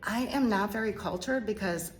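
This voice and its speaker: A middle-aged woman from Korea telling her life story as an interview guest, speaking hesitantly with many pauses and fillers. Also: mid-range voice, slight background noise. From South Korea. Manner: hesitant, halting, soft-spoken with many fillers. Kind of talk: personal testimony interview.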